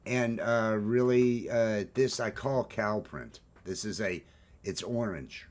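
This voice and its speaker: A man with a baritone voice hosts a casual morning chat, rambling with restarts and fillers. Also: slow. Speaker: host.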